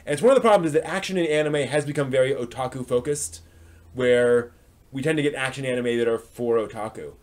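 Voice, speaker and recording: Man, medium-pitched voice, casual live stream host, conversational, halting and reflective delivery. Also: a little mellow. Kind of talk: casual discussion answering chat comments.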